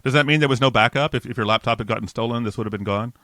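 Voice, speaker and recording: Upbeat man, bright close studio mic. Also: mid-range voice, racing, no echo. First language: not Dutch. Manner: chatty, upbeat, frequent restarts. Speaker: host. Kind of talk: casual interview and code review. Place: home office with bookshelves, indoors. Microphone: large studio mic and headphones, close.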